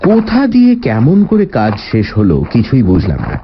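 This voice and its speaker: A man giving an expressive scripted narration of a detective story. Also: mid-range voice, moderate pace, dull audio, slight background noise. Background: background music.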